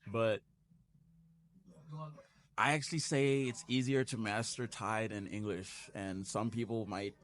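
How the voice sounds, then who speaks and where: Low-voiced easygoing male guest. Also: fairly even intonation, a little slow, long pauses, fairly bright tone. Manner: easygoing, emphatic, frequent "you know". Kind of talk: casual conversation.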